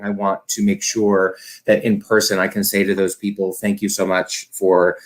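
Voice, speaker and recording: A man speaking conversationally as an arts director and guest, earnest and effusive, in long wordy sentences. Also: fairly low pitch. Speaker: theatre company director, guest. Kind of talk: conversational talk about running an arts organisation.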